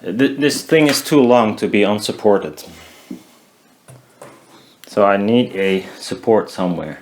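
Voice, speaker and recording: A man casually narrating a hands-on DIY build, haltingly thinking aloud as he works. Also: on the low side, darkish tone, a little hiss or hum. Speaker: DIY maker demonstrating a build.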